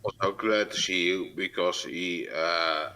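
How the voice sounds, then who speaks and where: Brief: deep, bright-toned man, slow and hesitant, casual stream guest. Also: clean tone. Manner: slow, hesitant, soft-spoken. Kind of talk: casual chat.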